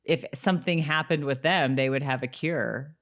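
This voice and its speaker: A woman's voice: sits low, moderate pace. A woman co-hosting a podcast, chatting in an informal, amused way as she recaps a TV episode. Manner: amused, informal, mimics characters' lines mockingly. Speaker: podcast co-host. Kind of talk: casual recap chat about a TV episode, with some read-out summary.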